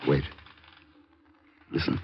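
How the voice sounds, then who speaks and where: An actor playing a cool, quick-witted American intelligence agent in a 1950s radio drama. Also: male voice. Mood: cool, brisk. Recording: muffled, hissy vintage radio broadcast.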